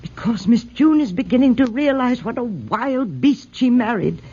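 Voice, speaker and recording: An actress playing a frightened, devoted old servant, pleading anxiously in 1940s radio drama style. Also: female voice, on the high side, lively intonation, moderate pace, dark tone, slightly rough. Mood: desperate. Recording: dull 1940s radio recording.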